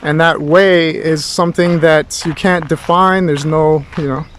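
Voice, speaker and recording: A man's voice: a little high, fairly even intonation, a little slow, a bit bright, a little hiss or hum. A man giving an informal philosophy lecture as a tutor, thinking aloud in a rambling, thoughtful way with rhetorical questions.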